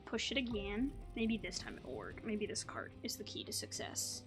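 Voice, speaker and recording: A woman's voice: mid-range voice, big pitch swings, normal speed. A woman hosting a gaming video, thinking aloud in casual commentary with playful asides. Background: game music.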